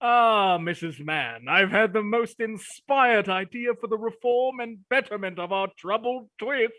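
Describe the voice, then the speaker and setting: High-voiced, pompous, cruel sergeant. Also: male voice, a little slow, sharp tone, no room sound. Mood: grandly pleased. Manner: pompous, florid, theatrical. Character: pompous, cruel parish sergeant in dystopian Victorian London. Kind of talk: dramatized stage play reading.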